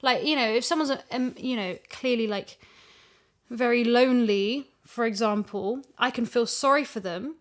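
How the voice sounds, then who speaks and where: A woman's voice: a little high, normal speed. A woman in a casual discussion, thinking ideas through aloud with thoughtful emphasis. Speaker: audio drama voice actress.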